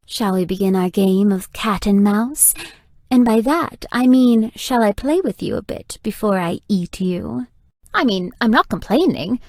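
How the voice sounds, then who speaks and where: A woman's voice: mid-range voice, a little mellow, no room sound. A woman voicing a flirtatious, playful, theatrical vampire in a video game, teasing and melodramatic. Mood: seductive, theatrical, then teasing, smitten. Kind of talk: scripted video game character dialogue.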